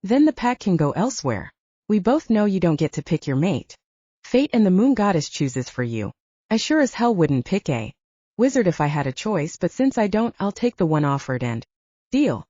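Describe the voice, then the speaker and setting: A woman's voice: fairly low pitch, sing-song, moderate pace, mellow, no echo, lo-fi sound. A woman voicing a stern, proud male alpha werewolf with a blunt, commanding manner. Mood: firm, warning.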